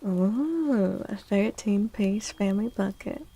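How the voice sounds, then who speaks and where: A woman livestream host chatting in a soft, gentle ASMR style close to the mic, drifting now and then toward a breathy whisper. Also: medium pitch, animated, takes it slowly. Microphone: close microphone.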